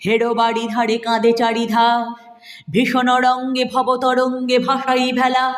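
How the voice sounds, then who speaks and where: Woman reciting verse in long reverb. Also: on the high side. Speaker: poetry reciter. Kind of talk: dramatic Bengali poem recitation. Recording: roomy echo with long reverb.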